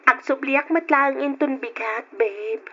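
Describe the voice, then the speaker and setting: A woman's voice: sits high, measured pace, bright, forceful tone, a little hiss or hum. A woman playing a cheerful young student. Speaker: student character. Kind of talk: radio drama acting. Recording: dull lo-fi radio recording.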